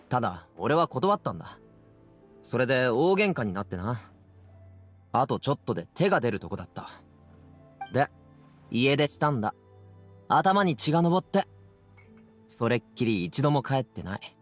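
A man voicing an easygoing but headstrong young man in an anime game, speaking in a casual, youthful way. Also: on the high side. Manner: casual youthful masculine speech.

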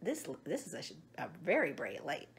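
A middle-aged woman chatting to young children in an animated, simple way in a room at home. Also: sits low, moderate pace, gravelly, husky. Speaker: storyteller showing children books and eggs. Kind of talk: casual chat to children.

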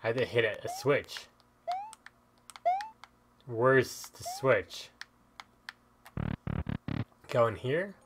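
A man's voice: medium pitch, very slow, long pauses, background noise. A man giving casual gameplay commentary as a video host, chatting and thinking aloud as he plays. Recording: game music behind the voice. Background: video game music.

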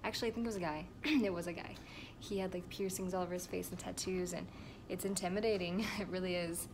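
A woman's voice: fairly low pitch, fairly even intonation, quick pace. A late-teen woman talking into a camera at arm's length in her bedroom, casually telling a rambling story full of fillers and restarts. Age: late teens. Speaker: vlogger. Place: bedroom at home with air conditioning running. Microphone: phone or camera at arm's length. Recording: air conditioner hum in the background.

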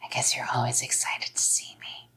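A young woman performing a whispered ASMR role-play as a possessive girlfriend, coaxing and softly commanding, close to the microphone. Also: rumbling low, big pitch swings, normal speed, low-quality recording. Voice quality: breathy whisper. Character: possessive psycho girlfriend playing nurse. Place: small dim indoor room.

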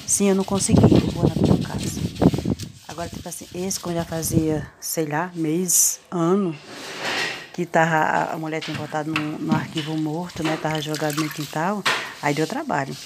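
A middle-aged woman talks through a home cleaning video, teasing and full of slangy asides. Her voice sits slightly low. The phone recording carries heavy background noise from the kitchen. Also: fairly even intonation, moderate pace. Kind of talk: casual chatty tutorial. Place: home kitchen, voice heard while cleaning a stove. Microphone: phone camera held close over the stovetop.

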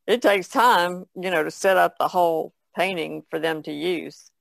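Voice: mid-range voice, normal speed, gravelly, a bit bright, no room sound. A podcast guest in a casual conversation, thinking aloud and leaning on "you know".

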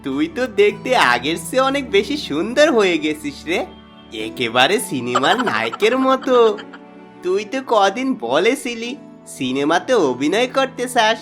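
A man playing a sly, greedy, boastful village swindler in a cartoon moral story, with an animated, coaxing manner. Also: high voice, normal speed. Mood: coaxing flattery. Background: background music.

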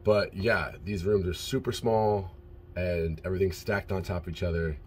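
Very deep-voiced man giving a cabin tour. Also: normal speed, a little hiss or hum. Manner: relaxed, rambling, lots of ums. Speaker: vlogger. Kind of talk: casual vlog room tour. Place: small crew cabin on a cruise ship. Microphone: phone held at arm's length. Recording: music playing under the voice. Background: background music.

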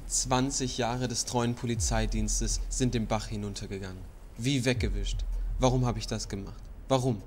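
A disgraced veteran policeman, played by a man in a radio play. He sounds anguished and theatrical at a middle pitch, with slight noise behind him. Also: fairly even intonation, normal speed, a little mellow, natural voice. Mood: despairing.

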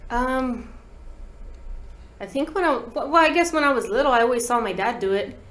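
A woman's voice: on the high side, normal speed. Slangy woman telling stories, roomy sound. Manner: slangy, animated storytelling with quoted dialogue. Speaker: guest musician, talk box player. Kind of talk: casual interview chat, telling anecdotes. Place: small podcast studio, indoors. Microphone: desk microphone. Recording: roomy studio echo.

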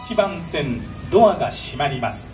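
Japanese-railway style platform announcer, high clipped official voice, distant pa with music. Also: male voice, very animated, normal speed, darkish tone. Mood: brisk, official. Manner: formulaic, clipped official phrasing. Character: impersonated train platform announcer, brisk and official.

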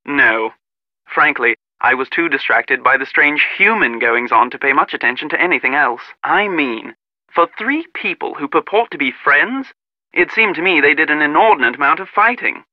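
Guy voicing stuffy british man from a video game, fussy n disdainful, highish voice, kinda muffled lo-fi sound. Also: animated, moderate pace, dry recording, natural voice. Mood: haughty, aggrieved. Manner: pompous, precise, clipped formal phrasing. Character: prim, irritable young Englishman, disdainful of pranks. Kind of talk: video game character dialogue.

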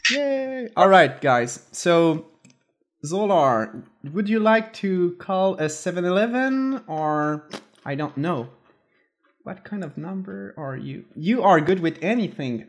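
Fairly high-voiced man hosting prank calls. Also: takes it slowly, pauses often. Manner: bossy and pushy on prank calls, relaxed between them. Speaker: prank call show host. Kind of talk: casual podcast chat.

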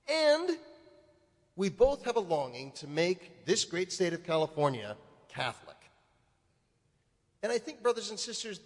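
A male priest preaching a sermon, building to emphatic, rising points. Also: high-pitched. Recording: reverberant church hall.

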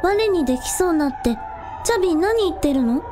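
A woman's voice: very high-pitched, lively intonation, brisk, over music. A woman playing a spirited, protective and playful young idol girl in a radio drama. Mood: worried. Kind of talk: amateur radio drama acting.

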